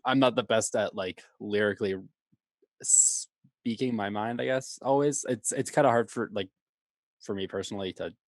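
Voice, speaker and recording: Guy w a stutter on a podcast, kinda low voice, says like a lot, swears, dry sound. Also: no room sound. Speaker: podcast guest, band vocalist. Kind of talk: casual chat.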